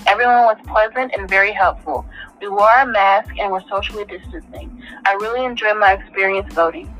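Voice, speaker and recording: Woman, 20s, voter testimonial, smooth, prepared delivery, mid pitch. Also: fairly even intonation, brisk, a bit bright, dull audio, soft background music. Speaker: voter interviewee. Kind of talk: recorded testimonial.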